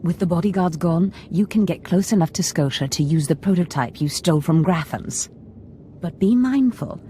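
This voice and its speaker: An actress playing a scheming, ambitious Sith lord mentor in a video game, theatrical and conspiratorial. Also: female voice, fairly low pitch, animated, moderate pace, warm tone, a little hiss or hum, lightly acted.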